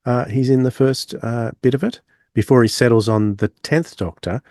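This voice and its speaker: A man's voice: mid-range voice, normal speed, warm tone, no echo, studio quality. A man hosting a podcast, presenting and discussing a review. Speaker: podcast host.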